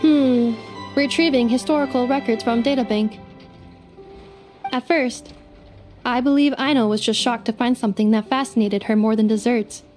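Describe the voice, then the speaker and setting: A woman voicing a gentle female robot companion in a video game, speaking in a measured, formal, slightly mechanical way. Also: on the high side, faint music behind, lightly acted. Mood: explaining.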